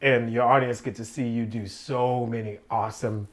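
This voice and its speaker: A man's voice: medium pitch, fairly even intonation, normal speed. A man hosting a casual talk show, effusive and playful. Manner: effusive, playful, calls guest "baby". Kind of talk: casual interview chat.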